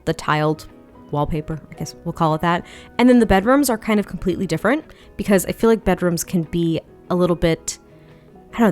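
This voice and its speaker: Slightly hoarse chatty woman vlogger. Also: mid-range voice. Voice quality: a little raspy. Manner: chatty, laughs often, rambling asides. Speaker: Sims build video creator. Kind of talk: casual voiceover commentary.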